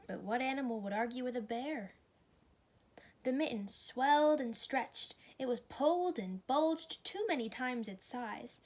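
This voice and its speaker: High-voiced woman reading a children's story. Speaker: storybook reader. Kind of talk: children's story read aloud.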